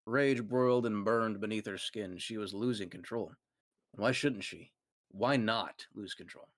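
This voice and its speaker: A man's voice: on the low side, measured pace, no echo, clean studio sound. A man narrating a comic fan fiction reading with dramatic storytelling flair. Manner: dramatic storytelling read aloud, occasional stumbles.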